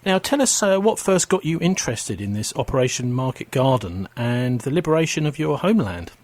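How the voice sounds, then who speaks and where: Brisk male radio host, dry sound. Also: medium pitch, sing-song, darkish tone, dry recording. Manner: brisk, leading questions. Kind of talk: radio interview.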